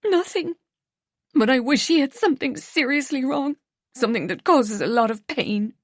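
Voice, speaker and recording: A woman's voice: high voice, moderate pace, no room sound, studio quality, pitched above the performer's own voice. A woman reading an audiobook, voicing a heartbroken young woman who turns tearful and bitter. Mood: distraught. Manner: tearful, pleading then cold and clipped.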